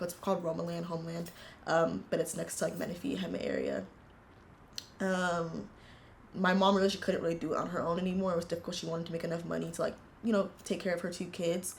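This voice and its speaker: Young woman with a low, gravelly voice. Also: a little hiss or hum. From United States. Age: 20s. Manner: earnest, reflective, halting with frequent fillers. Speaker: interviewee, university student. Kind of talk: casual personal storytelling.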